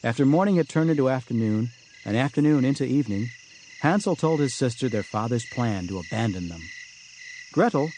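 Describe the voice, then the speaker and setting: A man's voice: medium pitch, animated, a little fast, darkish tone, a little hiss or hum, rough recording. A man reading a satirical storybook as scripted audiobook narration. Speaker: author reading own audiobook.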